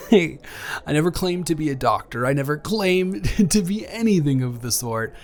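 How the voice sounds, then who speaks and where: A man improvising a caring, flustered young ex-boyfriend in an intimate audio roleplay, speaking casually with stammers and halting phrases. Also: on the high side, animated, pitched above the performer's own voice, close to an everyday voice. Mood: gently teasing, caring.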